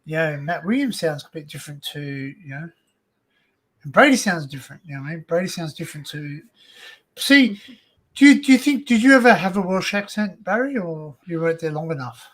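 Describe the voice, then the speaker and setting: An Australian man hosting a casual livestream chat, rambling and joking in an excitable way. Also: on the high side, brisk. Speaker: livestream host.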